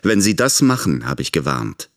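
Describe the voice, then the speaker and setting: A self-serving politician in a radio drama, with a low voice that swings widely. He argues his case in calculating rhetorical questions. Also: very animated, normal speed. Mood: self-justifying. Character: self-serving male politician justifying himself.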